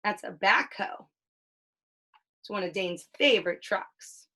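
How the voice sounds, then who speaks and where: Bright-voiced mother reading a picture book aloud. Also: mid-range voice, a little hiss or hum. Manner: sing-song storytelling, drawn-out sound words. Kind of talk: children's read-aloud with questions.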